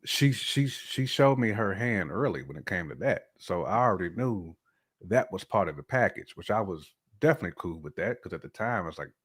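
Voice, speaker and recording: Guy with a deep low voice on a podcast, super casual n slangy, just chatting. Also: breathy edge. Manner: colloquial, slangy, frequent "you know". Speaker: podcast guest. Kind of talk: casual chat, telling personal views.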